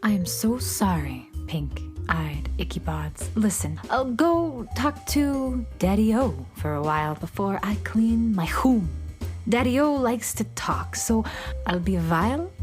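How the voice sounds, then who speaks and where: A woman playing a cool, reluctant young beatnik cat-girl from the Moon in a children's radio play, with deliberate phrasing. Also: mid-range voice, lively intonation, darkish tone, soft background music. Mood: apologetic, calm. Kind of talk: children's radio theater acting.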